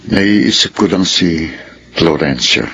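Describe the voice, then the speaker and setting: A man's voice: low-pitched, animated, takes it slowly, sharp tone, muffled recording, a little hiss or hum, exaggerated voice. A man with a Filipino accent playing an ageing, reflective widowed father in a radio drama, speaking pensively. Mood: wistful, worried.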